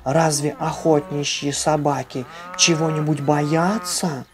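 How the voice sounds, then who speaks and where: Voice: mid-range voice, fairly even intonation, slow, lightly acted. A storybook reader voicing a grown female cat who lectures in an important, haughty way. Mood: softening, pitying. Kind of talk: children's storybook reading.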